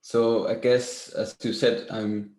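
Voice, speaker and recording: A composer from Switzerland answers slowly on a video call from home, with a low, flat voice. He speaks in a measured way and pauses on fillers, and the room has some echo. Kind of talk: webinar interview. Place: grandmother's house.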